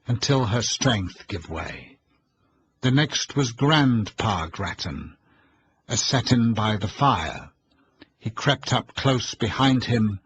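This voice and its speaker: A man voicing an idle, mocking young man who chants in a nasal monotone. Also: lowish voice, lo-fi sound, a little hiss or hum, natural voice. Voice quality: nasal monotone when singing.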